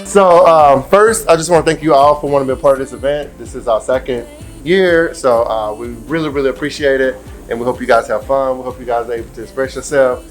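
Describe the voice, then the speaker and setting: A man organizing an event, welcoming people with a relaxed speech full of fillers. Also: medium pitch, fast talker, soft background music.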